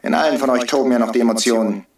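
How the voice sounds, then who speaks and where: A defiant agitator played by a man in a radio play, heard at some distance in a roomy space. His voice sits at a middle pitch and he declaims bitterly, needling his listeners like someone who holds them captive. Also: rapid-fire, close to an everyday voice. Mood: taunting, commanding. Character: defiant drug-free hostage-taker, bitter, taunting, preaching agitator. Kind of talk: radio drama acting.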